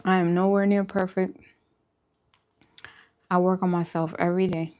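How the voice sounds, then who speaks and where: A woman's voice: on the low side, flat delivery, normal speed, a little mellow. A woman food vlogger talking casually and reflectively to her viewers in a conversational, encouraging way. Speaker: vegan food vlogger and host. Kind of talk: casual mukbang chat to camera.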